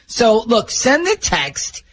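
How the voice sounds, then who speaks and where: A man's voice: very high voice, huge pitch swings, slow, fairly bright tone, slight background noise. An American man hosting a radio show, talkative and joking as he banters and runs phone calls. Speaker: radio host running a prank call segment.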